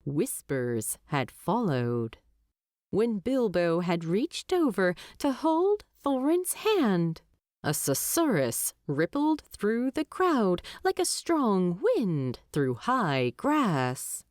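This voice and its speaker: A woman narrating fiction from a script as an audiobook narrator, in a measured, expressive storytelling manner. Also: mid-range voice, no echo, clean studio sound.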